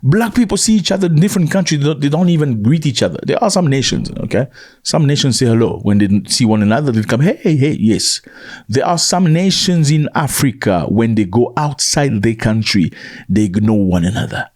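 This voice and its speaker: A man's voice: medium pitch, animated, brisk, a little mellow. A man giving an emphatic commentary monologue, repeating his points and acting out the voices he quotes.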